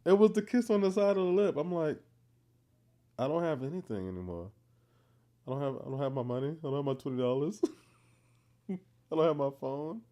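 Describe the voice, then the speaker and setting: A man's voice: on the high side, brisk, long pauses. Playful man with a Boston accent. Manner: playful, animated storytelling, teasing. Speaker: podcast co-host. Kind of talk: casual chat.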